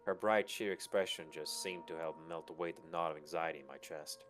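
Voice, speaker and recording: Low-pitched man narrating a story. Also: fairly even intonation, brisk, fairly bright tone. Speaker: reader of a visual novel. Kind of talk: first-person story narration. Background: background music.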